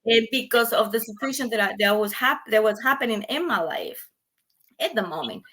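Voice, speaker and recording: Female guest, native Spanish, Costa Rican accent; bright, forceful voice, animated casual storytelling with repeats for emphasis. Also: a little high, a little fast. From Costa Rica. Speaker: podcast guest.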